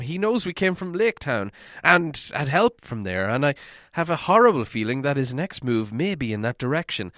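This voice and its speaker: A man voicing a fretful, higher-pitched little hobbit. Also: fairly bright tone, no room sound, studio quality. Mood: worried, regretful. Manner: fretful, stammering, hesitant. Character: anxious gloomy hobbit, small adult male, fretful. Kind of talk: storybook character voice in audiobook reading.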